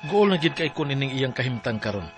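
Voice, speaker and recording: An actor with a Cebuano Filipino accent playing a troubled, devoted forty-year-old farmer in a radio drama. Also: male voice, mid-range voice, big pitch swings, quick pace, lightly acted. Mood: earnest narrating. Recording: faint music bed.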